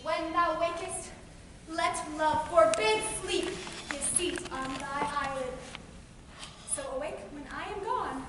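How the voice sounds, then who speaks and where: A woman playing a mischievous sprite servant in a stage play, delivering verse theatrically, hushed one moment and bursting out the next. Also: very high-pitched, slow pace, background noise. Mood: impish. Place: stage in a large hall. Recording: echoey hall from far off.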